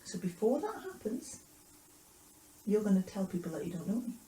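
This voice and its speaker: A woman voicing a calm, knowing male religious teacher with a measured, certain manner, in a Bible story. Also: medium pitch, echoey room, natural voice, poor audio. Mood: calm warning.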